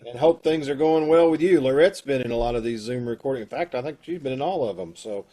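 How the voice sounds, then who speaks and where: A man's voice: mid-range voice, quick pace, warm tone, low-quality recording. A man hosting a casual chat over a video call from home, friendly and loose with fillers. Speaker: host of a community radio troupe.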